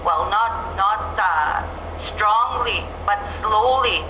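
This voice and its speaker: A woman's voice: mid-range voice, drawn-out words, lo-fi sound. A middle-aged woman from Manitoba, Canada, giving an earnest, plain-spoken campaign speech as a candidate for chief, speaking through a phone on a video call. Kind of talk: campaign speech at a community forum. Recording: roomy echo with steady background noise.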